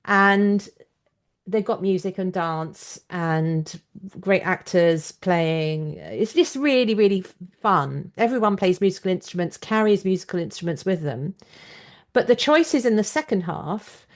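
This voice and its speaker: A woman's voice: lowish voice, expressive, a little slow. Woman, British accent, interview host, chatty, enthusiastic, opinionated, conversational.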